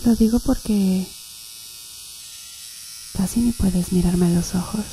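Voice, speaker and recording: A woman's voice: mid-range voice, fairly even intonation, slow, warm tone, a little hiss or hum, close to an everyday voice, low-quality recording. A woman playing a flirtatious, teasing female tattoo artist in an ASMR roleplay, murmuring breathily and seductively to the listener. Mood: seductive, intimate. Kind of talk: Spanish-language ASMR roleplay.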